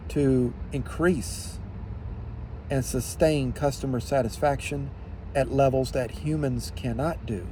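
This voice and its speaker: A man giving an unscripted opinion monologue in deliberate, halting phrases, with emphatic repetition. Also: mid-range voice, mellow, a little hiss or hum, poor audio.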